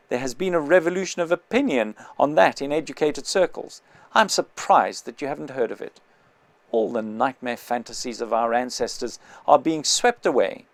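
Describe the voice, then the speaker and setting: A man's voice: fairly high pitch, creaky, no room sound. A man doing a pompous cultured lecturer. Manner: cultured, condescending, orotund.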